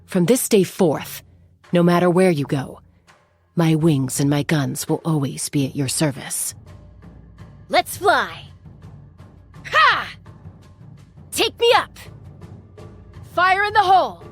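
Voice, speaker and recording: A woman voicing a confident, composed young female gunslinger and peacemaker in a video game, with a husky voice and a measured, wry delivery. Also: lowish voice, very animated, faint music behind, exaggerated voice. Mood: fierce, combative. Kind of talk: scripted game character voice lines.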